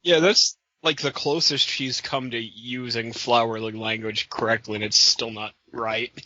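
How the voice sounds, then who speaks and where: A woman co-reading a comedy fan fiction session, cutting in with quick quips and frequent laughter. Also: very deep voice, fairly even intonation, bright, forceful tone, no echo. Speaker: co-reader. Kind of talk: casual comedy chat. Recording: dull lo-fi sound.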